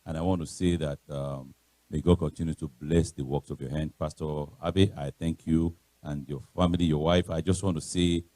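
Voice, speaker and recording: A middle-aged man with a Nigerian accent and a loud voice, giving a chatty, informal talk as a guest speaker in church. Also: steady tone, moderate pace, no room sound. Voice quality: loud, booming voice. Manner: chatty, anecdotal, repeats phrases. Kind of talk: informal motivational talk to a congregation. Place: church podium.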